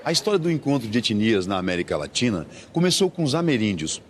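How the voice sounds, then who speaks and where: A man with a Brazilian Portuguese accent giving scripted documentary narration in a measured way. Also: medium pitch, big pitch swings, a little hiss or hum.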